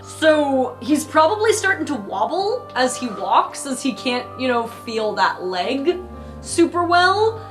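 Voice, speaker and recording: A woman's voice: high-pitched, big pitch swings, slow pace. A woman player in a tabletop role-playing game, hesitantly thinking aloud as she narrates her character. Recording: roomy, music underneath.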